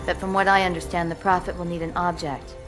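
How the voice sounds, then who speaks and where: An actress playing a decisive female military commander who gives crisp orders. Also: lowish voice, little pitch movement, quick pace, over music, close to an everyday voice. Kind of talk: game cutscene acting.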